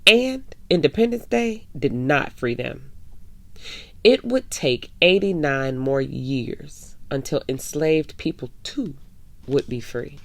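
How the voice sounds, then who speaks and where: A woman hosting a children's story time, reading a picture book aloud in a measured way with drawn-out emphasis. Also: low voice, animated, vocal fry, fairly bright tone, no echo. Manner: addresses young listeners, measured with drawn-out emphasis.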